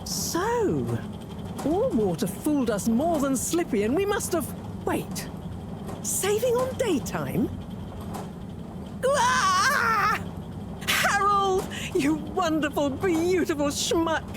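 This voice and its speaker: A high-pitched, exasperated woman scientist in a video game. Her delivery swings widely and theatrically, brisk and sharp-tongued, with noticeable noise behind the voice. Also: sing-song, heavily acted. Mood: elated.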